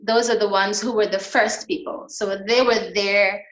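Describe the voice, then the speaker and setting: A middle-aged woman from Guyana, a fashion designer and interview guest, talking slowly and thoughtfully with many pauses and ums. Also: medium pitch, steady tone, fairly bright tone. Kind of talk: online video interview. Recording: echoey room over video call.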